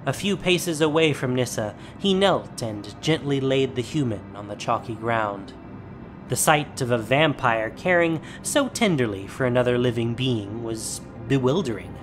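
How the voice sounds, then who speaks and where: A man narrating a fantasy audio drama in a steady, solemn storytelling voice. His pitch sits in a middle range, and music plays clearly beneath him. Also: a little slow.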